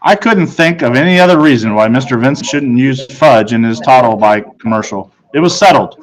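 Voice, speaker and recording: A man's voice: mid-range voice, fairly quick, poor audio. Male teacher, mid-range voice, for read-aloud storybook narration.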